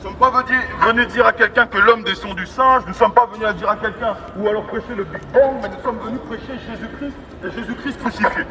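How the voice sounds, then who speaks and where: Male street evangelist, high voice, declamatory and repetitive, megaphone heard from far off amid crowd bustle. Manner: declamatory, repetitive, halting restarts. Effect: megaphone amplification. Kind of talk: open-air evangelistic preaching. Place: outdoors in a busy urban public space. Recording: megaphone voice outdoors, distant, echoing, street bustle. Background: street crowd and vehicles.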